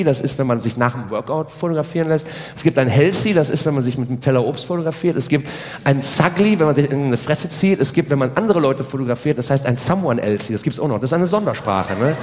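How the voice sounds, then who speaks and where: A man's voice: mid-range voice, lively intonation, fast, darkish tone. A man performing a spoken stage comedy monologue, rambling and repeatedly checking for agreement with short tag questions. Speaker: stand-up comedian. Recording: reverberant hall sound.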